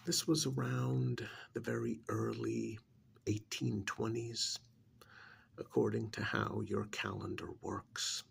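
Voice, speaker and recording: A man playing an arrogant, contemptuous ancient alien in an ASMR roleplay, speaking in a gravelly, breathy half-whisper with deliberate, condescending pauses. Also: fairly low pitch, expressive, a little hiss or hum, heavily acted. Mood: sly, self-satisfied. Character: arrogant ancient alien stranded in a human body, contemptuous and menacing.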